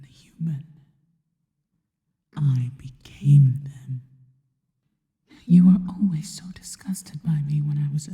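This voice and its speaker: A seductive spider woman, acted by a woman whispers intimately in an ASMR roleplay. Her breathy voice is very dark and unhurried, devoted and deliberate. It trails off into long, hall-like reverb. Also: sits low. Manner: slow, intimate, deliberate phrasing.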